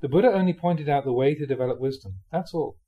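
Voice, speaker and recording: A man reading Buddhist teachings aloud like a monk giving a dharma talk, with measured, emphatic phrasing. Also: a little high, lo-fi sound.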